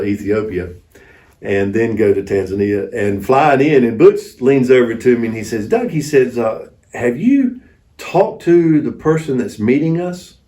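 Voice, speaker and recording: A man's voice: medium pitch, warm tone. A man telling mission stories conversationally as an interview guest, in a folksy, anecdotal manner. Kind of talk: conversational storytelling. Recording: echoey room, distant mic.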